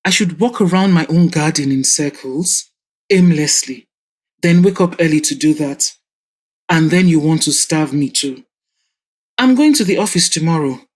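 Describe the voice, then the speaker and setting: A woman's voice: low voice, lively intonation, moderate pace, a little mellow, slightly rough. A woman voicing a stubborn, irritable older father who grumbles sarcastically and flares into anger. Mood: grumbling.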